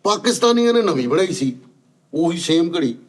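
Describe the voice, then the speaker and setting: A man's voice: fairly high pitch, big pitch swings, normal speed. A man from Pakistan telling a story in casual chat, respectful and addressing his elder as ustad ji. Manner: deferential, keeps addressing the other as ustad ji.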